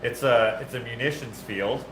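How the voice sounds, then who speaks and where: A man's voice: mid-range voice, normal speed. A man hosting a live build stream, chatting casually with viewers while he works and often correcting himself mid-sentence. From Canada. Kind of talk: casual chat while working. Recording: echoey workshop with loud background noise.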